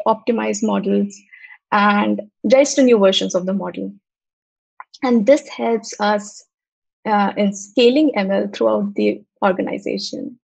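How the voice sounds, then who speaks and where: A young woman presenting a technical talk over a video call, explaining step by step with frequent pauses and fillers. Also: mid-range voice, creaky, darkish tone, no room sound. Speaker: software engineer presenting. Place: home room, on video call. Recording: slightly muffled, dry video call audio.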